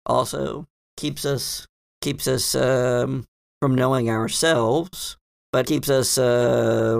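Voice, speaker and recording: A man voicing a scholarly, hesitant female librarian in a tabletop game, speaking in halting, formal, professorial phrases. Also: medium pitch, gravelly, dry recording, clean studio sound, pitched above the performer's own voice. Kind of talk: tabletop roleplay in character.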